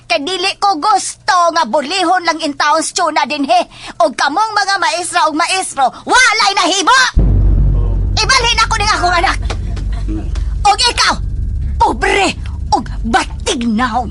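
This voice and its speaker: A woman's voice: extremely high pitch, animated, a little slow, sharp tone, slight background noise, exaggerated voice. A woman playing a haughty, furious rich mother who scolds with contempt in a melodramatic audio drama.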